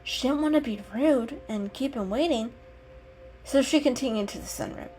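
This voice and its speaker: A woman storyteller giving an expressive, unhurried dramatic reading of an animal story. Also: high-pitched, soft background music, poor audio.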